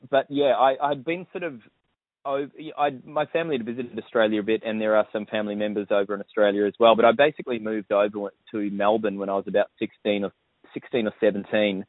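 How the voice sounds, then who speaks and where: Kiwi band leader guy in his 40s, lowish voice, keeps restarting, tinny dry phone line. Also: steady tone, no echo. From New Zealand. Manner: halting, many restarts and "you know". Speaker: bandleader and guitarist. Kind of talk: interview over the phone.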